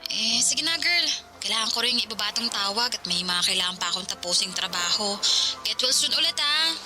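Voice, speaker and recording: A woman's voice: mid-range voice, expressive, brisk, natural voice. A woman playing a concerned, chummy young office friend in a Tagalog radio drama. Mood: concerned, friendly. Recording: loud music bed under voice.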